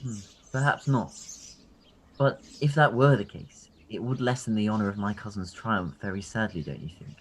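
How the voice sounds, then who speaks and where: An actor playing a polite, genial and considerate Regency military gentleman in a radio play reading. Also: lowish voice, normal speed, a little hiss or hum, natural voice. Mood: musing.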